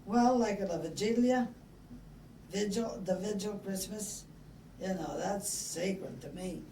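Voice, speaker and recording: An older woman from Italy with an Italian accent, reminiscing casually and haltingly in an interview as she tells stories from her life. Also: mid-range voice, mellow. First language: Italian dialect. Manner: halting, chatty storytelling. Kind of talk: casual oral-history reminiscing. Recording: echoey room, distant mic, background noise.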